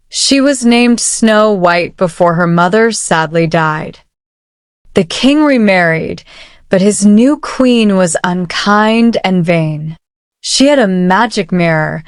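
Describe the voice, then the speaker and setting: A woman narrating a children's picture book aloud with measured, careful phrasing. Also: mid-range voice, clear, resonant tone, dry recording, studio quality. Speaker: storybook narrator. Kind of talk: children's read-aloud storytelling. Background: soft music.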